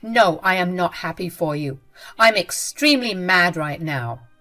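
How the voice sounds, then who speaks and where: A woman voicing a scornful, spiteful middle-aged mother-in-law who talks loud and shrill. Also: animated, a little slow, soft background music. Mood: furious.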